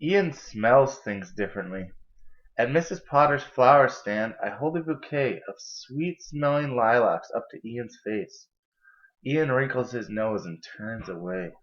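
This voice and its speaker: A young man reads a children's picture book aloud, narrating at a medium pitch. The recording is dull and lo-fi, picked up indoors by a camera a short way off. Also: lively intonation, measured pace. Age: young adult. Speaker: storybook reader. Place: indoor kitchen and living room.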